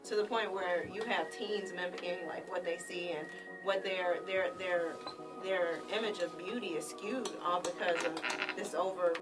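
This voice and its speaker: A woman makes an earnest point on a radio talk show, groping for the right words. Her voice sits a little low. She is off the mic, with loud music running underneath. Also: steady tone, moderate pace, recorded from a distance. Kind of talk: casual talk radio discussion.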